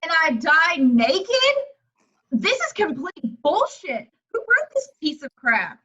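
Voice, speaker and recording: A scornful, foul-mouthed horror heroine played by a woman in a home script reading. The voice is high and sharp, with blunt emphasis. The sound is slightly dull. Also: sing-song, takes it slowly, full character voice. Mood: outraged. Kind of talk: amateur at-home screenplay table reading.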